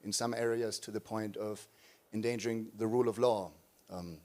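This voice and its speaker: A man's voice: fairly low pitch, fairly even intonation, normal speed, a little mellow. Young man asking a formal question. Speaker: audience questioner.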